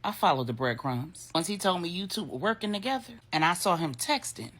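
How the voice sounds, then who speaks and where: Woman reader as cocky ex-con tough guy, urban story; slangy bravado, husky, swinging intonation. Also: on the low side, big pitch swings, fairly quick, a bit bright. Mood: flirty, confident. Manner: slangy, laid-back bravado. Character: cocky, tough ex-convict man, street-smart flirt.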